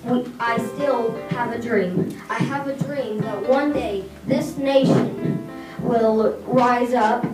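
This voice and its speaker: Slow, halting child reader in an echoey room. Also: medium pitch. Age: child, third grader. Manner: halting, deliberate reading with stumbles. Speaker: student reader. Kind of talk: scripted reading of a famous speech in a school reader's theatre. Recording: echoey, distant mic, music behind. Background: music.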